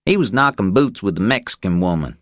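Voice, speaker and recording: A man voicing a cocky, excitable young Texas ranch hand in an audiobook, taunting in folksy slang. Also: sits low, fairly quick, dry recording, clean studio sound, pitched above the performer's own voice. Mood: smug.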